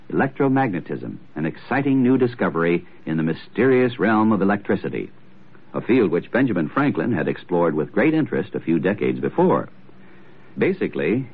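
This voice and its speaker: A man's voice: fairly low pitch, breathy edge. A man reading a scripted educational piece as a 1940s radio announcer. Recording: tinny, narrow old radio recording.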